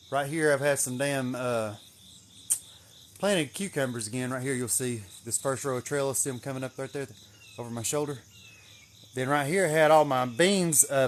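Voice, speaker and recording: A man chatting casually on an outdoor livestream as he gives a garden tour, with a folksy, rambling manner and some mild swearing. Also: mid-range voice. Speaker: livestream host showing his greenhouse garden.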